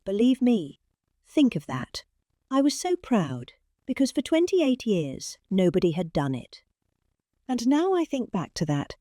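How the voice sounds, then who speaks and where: A middle-aged woman with a British accent reading a political speech in a calm, measured way, with long pauses and heavy emphasis. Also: mid-range voice, no echo, studio quality. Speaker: reader.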